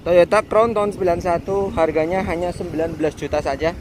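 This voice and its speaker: Guy doing a chatty car sales vlog from inside a moving car, really loud road noise. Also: high-pitched, fairly even intonation, a little fast. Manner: chatty, enthusiastic, exclamatory. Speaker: car seller and reviewer. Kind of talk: casual car review vlog sales pitch.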